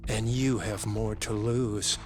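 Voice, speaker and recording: An actor playing a grave, zealous scientist in a video game cutscene, speaking in a measured, formal, insistent way. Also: male voice, fairly low pitch, fairly even intonation, music in the background. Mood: insistent, cold. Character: coldly obsessed male scientist, grave and zealous. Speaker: medical researcher.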